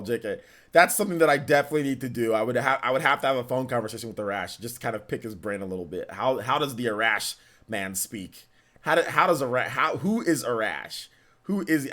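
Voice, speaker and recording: A man's voice: on the low side, fairly bright tone. A young man hosting a live stream, chatting casually, answering viewer questions and rambling with many repeats and restarts. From California.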